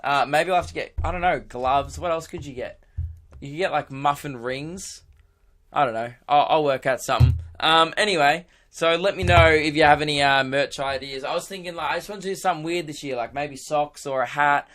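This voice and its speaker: A young man with a hoarse, worn-out voice, rambling excitedly on his own podcast, laughing and swearing as he goes. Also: on the high side, fairly quick. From Australia. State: hoarse, lost voice. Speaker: podcast host and radio presenter. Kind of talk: casual solo podcast chat.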